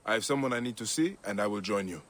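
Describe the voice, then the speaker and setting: An actor playing a calm, resolute ancient warrior protector with a measured, dry-witted way of talking in a video game. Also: male voice, on the low side. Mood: calm, wry. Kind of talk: video game cutscene acting.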